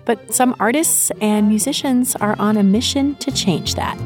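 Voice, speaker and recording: A woman's voice: medium pitch, normal speed, music in the background. A woman with an American accent presenting a scripted public radio segment as its host.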